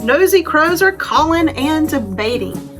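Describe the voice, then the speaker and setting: A woman's voice: high voice, very animated, a little slow, music in the background. A woman children's librarian presenting and reading aloud at storytime, speaking directly and simply to young children with encouraging invitations.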